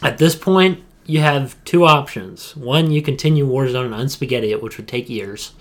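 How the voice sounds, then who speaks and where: A man's voice: medium pitch, moderate pace, fairly bright tone. Emphatic young man in casual chat. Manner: emphatic, repeats phrases for stress. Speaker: podcast co-host.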